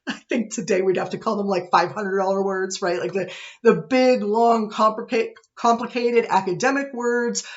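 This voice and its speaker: College instructor, casual online lecture, self-deprecating, office webcam, slightly muffled audio. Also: mid-range voice. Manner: chatty, self-deprecating, frequent "right?" checks. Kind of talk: recorded online lecture, conversational. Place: own office, indoors. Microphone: computer webcam set above eye level.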